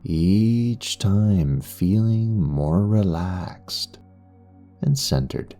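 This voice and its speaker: A man guiding a meditation in a soothing, unhurried way, leaving long pauses between phrases. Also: low-pitched, lively intonation, dark tone. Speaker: meditation guide and hypnotherapist. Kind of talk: guided meditation narration. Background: background music.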